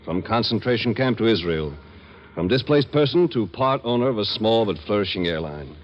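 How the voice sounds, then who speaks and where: An actor plays an earnest survivor of war who now runs a small airline, speaking in a very low male voice. He is sentimental and sincere in the manner of 1950s radio drama, on a muffled old recording. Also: animated, a little hiss or hum, lightly acted. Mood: earnest, hopeful. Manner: earnest, sentimental, halting when moved.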